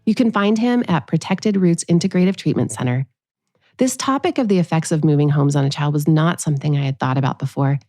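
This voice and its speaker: A woman reads scripted podcast narration as host in a slightly low, soft-toned voice. The recording is dry and close, with music at times underneath. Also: brisk, no echo.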